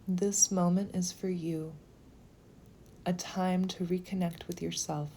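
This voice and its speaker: A woman leading a guided meditation in a slow, gentle, soothing manner, with phrases sometimes softening toward a whisper. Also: lowish voice, level tone, slight background noise.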